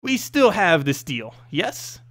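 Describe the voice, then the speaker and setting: A man's voice: a little high, very animated, slow pace, a bit bright, dry recording, clean studio sound, exaggerated voice. Man reading as a firm, exasperated demon summoner woman. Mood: firm, probing. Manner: firm, probing, impatient.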